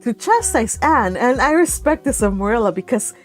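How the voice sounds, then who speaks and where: A woman hosting a read-along, talking casually about a book in a giggly, animated, rambling way. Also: a little high, moderate pace, faint music behind.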